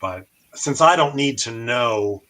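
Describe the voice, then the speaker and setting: A man hosting a show, giving a live explanatory demonstration in a methodical way with pauses. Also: mid-range voice, expressive, sharp tone.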